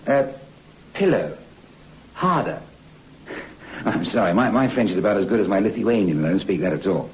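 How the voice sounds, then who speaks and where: An actor playing a curious, fumbling hotel guest in an old radio drama. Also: male voice, on the low side, lively intonation, normal speed, long pauses, close to an everyday voice. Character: curious, friendly male English hotel guest. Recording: dull old radio recording.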